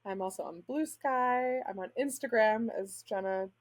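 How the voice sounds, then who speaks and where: A woman's voice: fairly high pitch, moderate pace. A middle-aged woman from Spain chatting casually as an interview guest, talking in an informal, self-deprecating way. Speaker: guest author and literary agent.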